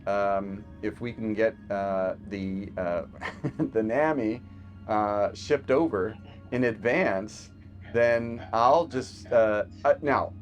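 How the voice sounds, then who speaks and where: A man's voice: on the low side, muffled recording. A man, a physician, chatting casually over a video call, telling stories with lots of fillers and laughs. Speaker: physician guest. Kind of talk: casual remote podcast conversation.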